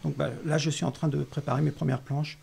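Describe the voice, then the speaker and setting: A man's voice: fairly high pitch, little pitch movement, fast, creaky, a little mellow, husky. A man explaining things casually as a guest in a conversational interview. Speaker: guest, animator and comic artist.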